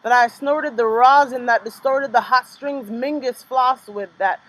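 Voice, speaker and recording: A woman's voice: a little high, fairly bright tone, low-quality recording. Young woman reading spoken word poetry. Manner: rhythmic, measured delivery with casual asides between poems. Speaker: poet performing her work.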